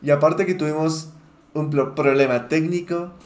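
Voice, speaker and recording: A man's voice: on the high side, steady tone, moderate pace, darkish tone. A man hosting a casual podcast chat in who leads the talk and laughs often. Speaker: podcast host. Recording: echoey room.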